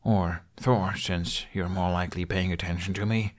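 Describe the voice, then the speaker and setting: A man's voice: deep voice, fairly even intonation, normal speed, natural voice. A man voicing a whiny, easily embarrassed, indignant boy in a fantasy audiobook. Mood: exasperated. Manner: whining, hushed muttering and hissing.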